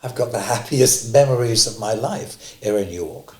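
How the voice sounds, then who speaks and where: A man's voice: fairly low pitch, moderate pace, darkish tone. A man, a pantomime actor, speaking in an emphatic, heartfelt way. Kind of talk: heartfelt interview answer. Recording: echoey room.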